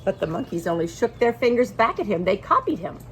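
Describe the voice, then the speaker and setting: A woman reading a picture book aloud to young children in an expressive way, engaging her listeners as she goes. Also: on the high side, a little hiss or hum. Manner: expressive, pauses to ask listeners questions. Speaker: children's storybook reader.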